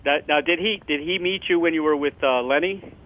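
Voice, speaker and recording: A man hosting a radio interview, casual and friendly, with many quick backchannel replies, heard over a phone line. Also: a little high. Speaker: radio show host.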